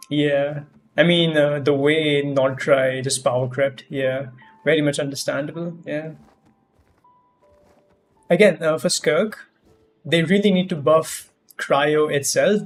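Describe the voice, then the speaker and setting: A man livestreaming a game, commenting casually and thinking aloud in a rambling way. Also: a little high. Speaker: game streamer. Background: game music.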